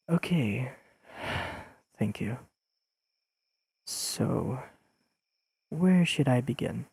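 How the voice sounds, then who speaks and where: A man playing an earnest, awkwardly analytical young best friend in an intimate audio roleplay, speaking haltingly and carefully to the listener. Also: medium pitch, vocal fry, breathy edge, no echo. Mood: careful, explaining. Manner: halting, careful, softly pleading, slightly formal wording.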